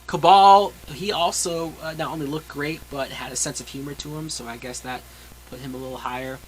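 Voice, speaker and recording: A man's voice: fairly high pitch, steady tone, brisk, a little hiss or hum, low-quality recording. A man reviewing a movie in a casual, opinionated way, with emphatic, blunt and sweary delivery. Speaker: film reviewer.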